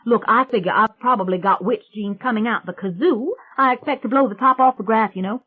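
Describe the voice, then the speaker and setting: A woman voicing a brash young country woman who chatters loudly and gushes. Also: fairly high pitch, breathy edge, pitched above the performer's own voice. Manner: loud, gushing, rapid chatter. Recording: tinny phone-like sound.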